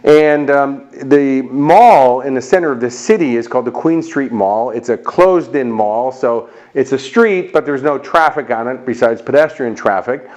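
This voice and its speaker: A middle-aged man from Chicago with an American accent, giving a lively motivational talk and acting out short voices in his stories. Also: medium pitch, moderate pace, few pauses. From Chicago, United States. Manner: animated storyteller, acts out little voices and jokes. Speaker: motivational speaker.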